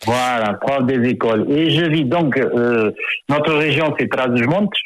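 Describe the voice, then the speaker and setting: A man's voice: medium pitch, a little slow, no room sound, rough recording. Hesitant middle-aged man on radio. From France. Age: 50s. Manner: hesitant, many fillers and repeated words. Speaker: author. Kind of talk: radio interview.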